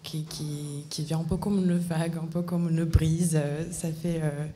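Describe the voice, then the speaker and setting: A woman's voice: deep voice, steady tone, unhurried, dark tone, slight background noise. A woman giving a reflective, unscripted interview answer, hesitant and searching for her words. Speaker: interview guest.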